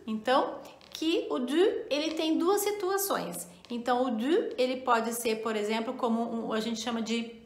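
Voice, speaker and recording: A woman teaching French in an online lesson, patiently repeating each example slowly. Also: on the high side, reverberant. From Portugal, raised in Brazil.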